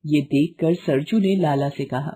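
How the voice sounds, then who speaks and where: A woman's voice: sits low, unhurried, warm tone, slightly rough. A woman narrating a scripted moral story for children.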